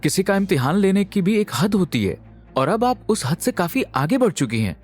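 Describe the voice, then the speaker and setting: A man's voice: sits high, sing-song, moderate pace, darkish tone, faint music behind, voice pushed up. A man playing an outraged, protective young husband in an audio drama, heated and confrontational. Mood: indignant. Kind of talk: Hindi audio drama acting.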